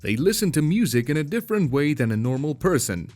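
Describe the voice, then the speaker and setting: A man's voice: fairly high pitch, big pitch swings, fast, darkish tone, faint music behind. A man narrating a scripted voice-over and explaining his points. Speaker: video narrator.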